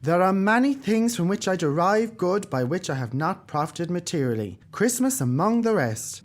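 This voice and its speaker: A woman's voice: fairly low pitch, big pitch swings, normal speed. An Irish woman playing a cheerful young nephew, earnest and pleading.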